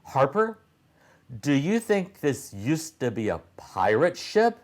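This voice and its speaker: A man voicing an eager, curious young boy adventurer in a storybook, in an excited, questioning manner. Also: a little high, slow, pitched above the performer's own voice.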